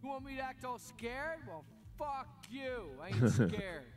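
High-pitched belligerent young tough. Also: male voice, huge pitch swings, unhurried, music in the background, full character voice. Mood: defiant, angry. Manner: aggressive, profane. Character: belligerent foul-mouthed young tough in a strip club. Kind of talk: video game voice acting.

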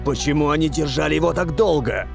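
A man voicing a steady member of a football team in a cartoon. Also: fairly high pitch, quick pace, gravelly, over music. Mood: serious. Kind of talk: dubbed cartoon dialogue.

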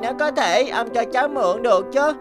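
A young street boy from a cartoon, voiced by a woman. He speaks politely and with earnest eagerness in a fairly high voice. Music plays behind. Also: expressive, fairly quick, lightly acted. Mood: earnest pleading.

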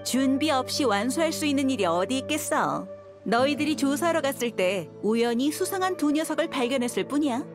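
A young desert mercenary woman from a video game, speaks in a high, swooping voice over music. She is shrewd and self-assured, with a cool, teasing edge. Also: lively intonation, fairly quick. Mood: confident explaining. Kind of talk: video game voice acting, story dialogue. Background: background music.

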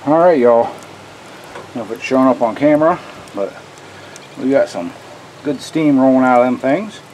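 A man's voice: mid-range voice, normal speed, a little mellow, poor audio. Man, folksy cooking host, handheld camera mic, faint background noise. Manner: folksy, talks to viewers as "y'all". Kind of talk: casual cooking demo. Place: home kitchen, then outdoor grill.

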